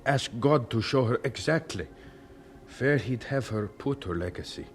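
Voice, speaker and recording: An actor playing a devout young preacher in an audio drama, humble and earnest, with a hesitant, halting delivery. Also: male voice, medium pitch, expressive, a little hiss or hum, lightly acted.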